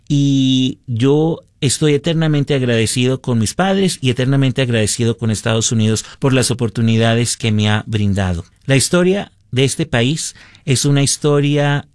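A man from the United States hosting a radio show, giving measured, earnest commentary addressed to listeners. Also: mid-range voice, dry recording, clean studio sound. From United States, born to Colombian parents. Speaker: radio host. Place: radio studio.

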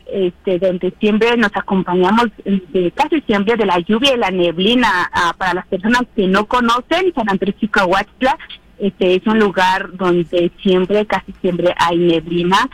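A woman's voice: mid-range voice, unhurried, no room sound, rough recording. A woman from Oaxaca, Mexico, whose first language is Triqui, gives a friendly regional report on the radio with many hesitation fillers. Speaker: regional correspondent.

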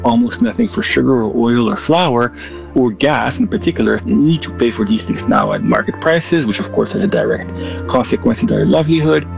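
Gravelly-voiced man explaining, over music. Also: mid-range voice. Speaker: expert guest. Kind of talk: interview explanation.

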